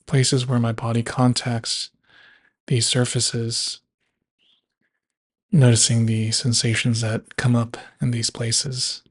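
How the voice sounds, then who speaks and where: A man leads a guided body scan meditation at a very slow pace. His voice sits at a middle pitch and stays flat and even. He leaves long pauses between short, measured phrases.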